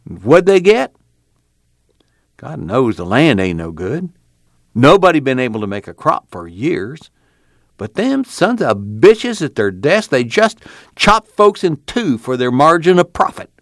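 A man's voice: medium pitch, big pitch swings, a little slow, no room sound, clean studio sound, pitched above the performer's own voice. A man voicing a lonely, bitter older farmer who talks in a raw, pleading way that rises to anger.

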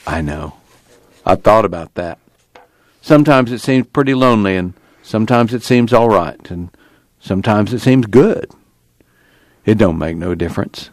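Man playing a lonesome resigned farmer, quiet folksy country talk, lively pitch, dry sound. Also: no echo, lightly acted. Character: lonely, resigned middle-aged male farmer.

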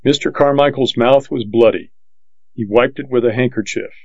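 A man reading a western audiobook in the first person, with measured, plain storytelling. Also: medium pitch, creaky, a little mellow, no room sound. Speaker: audiobook narrator. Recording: dull, lo-fi dry audiobook recording.

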